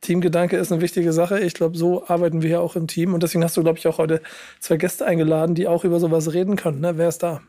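A man with a fairly high voice hosts a casual podcast. He sounds hoarse and a bit worn out, like someone under the weather, and talks in a loose, conversational way. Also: level tone, fast, mellow.

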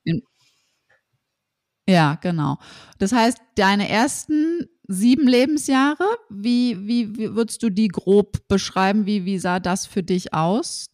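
A woman's voice: mid-range voice, expressive, slow pace, a little mellow, no room sound, clean studio sound. A woman from Berlin hosting a podcast interview, chatty and upbeat, full of fillers and restarts. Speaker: podcast host. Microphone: remote call, host side.

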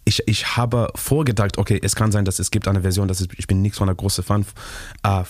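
A man's voice: fairly low pitch, steady tone, darkish tone, no room sound, clean studio sound. Shona-speaking man searching for words. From Zimbabwe. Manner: halting, searching for words, frequent restarts, laughs often. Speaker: musician guest. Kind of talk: interview answers in learner German mixed with English.

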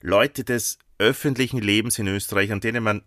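A man with an Austrian German accent co-hosting a casual podcast, a little hoarse from a sore throat, talking in a chatty, playful way with plenty of fillers. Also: lowish voice, fairly even intonation, brisk, fairly bright tone, no room sound, studio quality. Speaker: podcast co-host.

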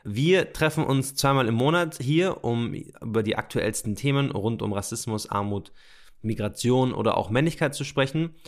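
A man co-hosting a podcast and working as a journalist, talking in a relaxed, conversational way and then narrating from a script. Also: medium pitch, normal speed.

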